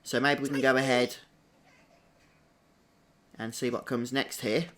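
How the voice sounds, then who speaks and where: A man's voice: mid-range voice, level tone, a little slow, a bit bright, slight background noise. A man giving casual, chatty gameplay commentary, thinking aloud as a streamer doing a walkthrough.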